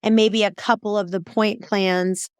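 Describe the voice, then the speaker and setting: Female interviewer for a podcast. Medium pitch, casual conversational questioning, dry studio-like sound. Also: flat delivery, a little slow, darkish tone, clear, resonant tone, dry recording. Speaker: podcast host.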